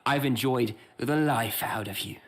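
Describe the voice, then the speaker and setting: A man voicing an eerie, theatrical game-show host spirit in a high, sing-song showman voice. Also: unhurried, fairly bright tone. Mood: smug.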